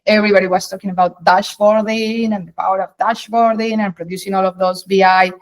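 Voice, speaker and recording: A woman's voice: mid-range voice, fairly even intonation, a little fast. A middle-aged woman from Colombia, a native Spanish speaker with a Colombian accent, giving long emphatic answers as an interview guest. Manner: emphatic, explains in long flowing runs with rephrasings. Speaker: guest, data science executive.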